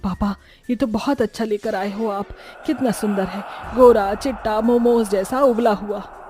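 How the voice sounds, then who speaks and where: A woman's voice: medium pitch, fairly even intonation, unhurried, dark tone, soft background music, close to an everyday voice. A woman voicing a young, lovestruck and bossy witch bride in a horror-comedy cartoon. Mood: pleased, excited.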